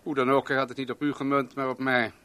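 A man's voice: mid-range voice, little pitch movement, quick pace, bright, forceful tone, a little hiss or hum, close to an everyday voice. A man acting in a radio play as a self-assured, rich businessman and bon vivant, lecturing in a leisurely, pompous way. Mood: smug, commanding.

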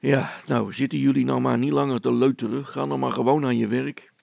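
A man's voice: medium pitch, little pitch movement, a little fast, creaky, a little mellow, no echo. A man playing a brusque, no-nonsense village policeman who talks in a curt, impatient way.

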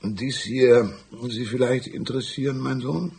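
An actor playing a folksy, shrewd older small-town lawman in a radio drama, patronizing and unhurried, with a gravelly, husky voice. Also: medium pitch, a little mellow, heavily acted. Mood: probing, calm. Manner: drawn-out, patronizing, calls listener "my son".

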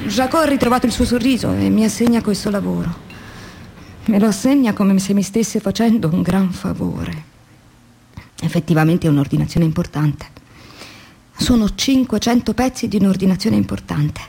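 A woman's voice: medium pitch, moderate pace, creaky, mellow, husky. An actress playing a weary, demoralized young woman factory worker in an introspective, theatrical radio drama monologue. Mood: tense, weary. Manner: introspective, theatrical, shifting between murmurs and emphatic phrases.

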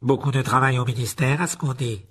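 A man voicing a contemptuous, aristocratic wizard father in an audiobook, drawling with disdain and mock politeness in a husky voice. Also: mid-range voice, steady tone, a little fast, fairly bright tone, pitched above the performer's own voice. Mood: cold sneering. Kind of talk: audiobook character voice.

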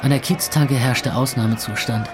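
A man's voice: medium pitch, steady tone, normal speed, over music, lightly acted. An actor playing a disillusioned young man who narrates his inner monologue in an audio drama, sardonic and clipped. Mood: wry, observing. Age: young adult. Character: wry, disillusioned young night-life narrator thinking aloud.

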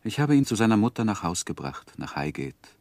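An actor playing a reflective, grieving gentleman who narrates his life story in a measured, literary radio play style. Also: fairly low pitch, sing-song, no echo. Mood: solemn grief. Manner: measured, solemn, sorrowful.

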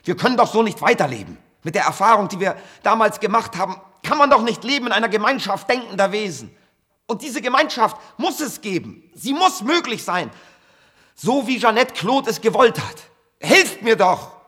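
An actor playing a haunted, obsessive inventor in a radio drama, speaking haltingly and intensely. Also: male voice, high-pitched, big pitch swings, pushed and bright, slightly rough, full character voice. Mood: desperate pleading. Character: haunted obsessive male inventor, pleading to retry his failed experiment. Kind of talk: 1970s German radio drama acting.

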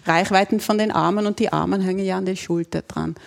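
A woman's voice: mid-range voice, steady tone, fairly quick, vocal fry. Dutch-accented woman explaining patiently. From Netherlands. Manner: explains patiently, laughs easily. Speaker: ergonomist, guest. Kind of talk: casual explanatory chat.

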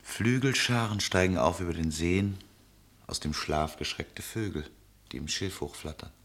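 Very low male voice, tender traveller, lyrical storytelling, solemn, radio drama. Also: normal speed, a bit bright. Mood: lyrical, awed. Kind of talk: literary radio play monologue.

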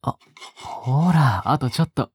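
Guy acting creepy sweet possessive young man, super breathy soft coaxing, lilts up and down a lot, audio drama. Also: medium pitch, animated, slow, no room sound, clean studio sound, heavily acted. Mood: doting, obsessive. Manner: soft, coaxing, often dropping to a breathy whisper. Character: possessive, sickly-sweet young male captor doting on a pet-like girl. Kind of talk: intimate audio drama acting, spoken to the listener.

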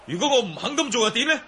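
A man's voice: very high voice, bright, forceful tone, slight background noise, exaggerated voice. An actor playing a courteous, heroic young nobleman in a Cantonese radio drama, speaking formally and earnestly. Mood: defiant.

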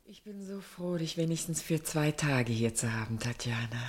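An actress playing a gentle, anxious middle-aged mother in a German radio drama, pensive and hesitant. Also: low voice, expressive, moderate pace. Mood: tender, worried.

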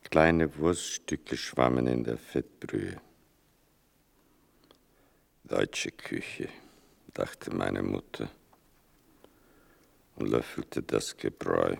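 An actor playing an older narrator in a literary radio play, gravelly and deliberate, with a bitterly ironic, mournful manner. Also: male voice, rumbling low, full character voice. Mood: grave, restrained. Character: sardonic, mournful male narrator telling his mother's wartime story.

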